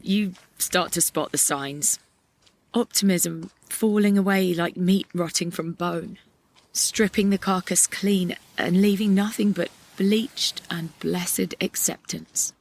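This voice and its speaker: A woman playing a weary young narrator, delivering a lyrical, reflective monologue in an audio play. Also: mid-range voice. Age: young adult.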